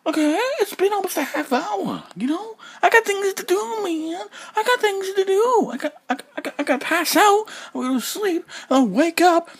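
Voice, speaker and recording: A man's voice: very high-pitched, expressive, moderate pace, hardly any pauses. A man hosting a solo podcast, rambling in circles and repeating himself, swearing casually, after almost a full day without sleep. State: sleep-deprived, up almost 24 hours. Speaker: podcast host.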